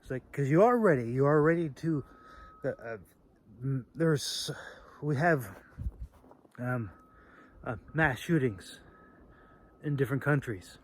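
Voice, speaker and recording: A man hosting a casual, off-the-cuff demonstration video, talking in a halting, rambling way with phrases that trail off. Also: mid-range voice, lively intonation, slight background noise. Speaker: video host demonstrating sword carry.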